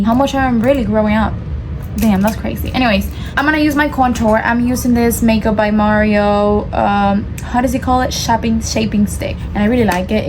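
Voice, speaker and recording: Chatty young Cuban-born woman vlogging. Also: medium pitch. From Cuba. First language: Spanish. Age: early 20s. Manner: chatty, rambling, lots of fillers and restarts. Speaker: beauty vlogger. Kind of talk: casual get-ready-with-me chat.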